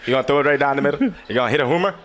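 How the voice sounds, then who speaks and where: A man's voice: mid-range voice, racing, fairly bright tone, a little hiss or hum. A young man with a Bahamian accent, a guest baseball player joining the commentary booth, playful and laughing, repeating his calls.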